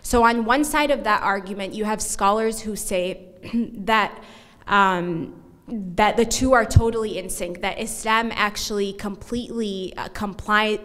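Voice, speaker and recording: A young woman presents an academic paper at a podium microphone. Her delivery is measured and steady, with a few small restarts as she reads from her notes, and her voice sits at an ordinary middle pitch. A long reverb from a large conference hall trails behind her words.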